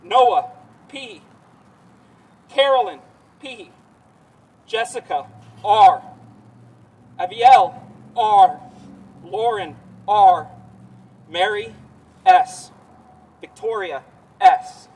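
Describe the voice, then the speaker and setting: High-voiced man reading names, slow and distant. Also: lively intonation, darkish tone, breathy edge. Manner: slow, measured, somber. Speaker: rally speaker. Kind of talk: solemn reading of victims' names. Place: outdoor rally. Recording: voice distant from the mic.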